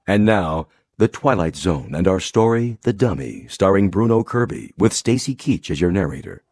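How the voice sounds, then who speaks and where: A solemn radio series announcer, very low in pitch. He delivers formal, dramatic billing with faint music underneath. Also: animated, normal speed, a little mellow.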